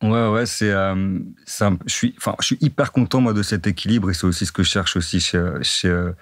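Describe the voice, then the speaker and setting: A young man from northern France, chatting casually in an interview, relaxed and repetitive with many fillers. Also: low-pitched, fairly quick, no room sound, clean studio sound. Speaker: dating-profile guest.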